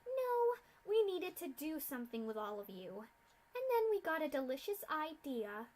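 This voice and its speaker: Woman doing a cruel pony scientist, sneering, mock-polite, high and loud, storybook reading. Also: big pitch swings, normal speed. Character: sadistic female pony scientist, cruel, mocking. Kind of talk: fanfic reading.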